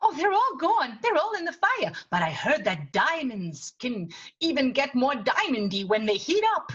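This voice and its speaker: Woman playing a very high-pitched ditzy showgirl. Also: big pitch swings, moderate pace, a bit bright, slightly rough, lo-fi sound, heavily acted. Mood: breezy. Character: ditzy, affectionate, lovelorn young showgirl. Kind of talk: improvised 1920s radio soap acting.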